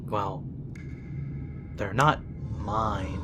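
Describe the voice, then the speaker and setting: A man's voice: fairly low pitch, very slow, sharp tone, faint music behind, exaggerated voice. A man playing a principled, exasperated younger-brother vampire prince in an audio roleplay, speaking in an earnest, reproachful way. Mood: defiant.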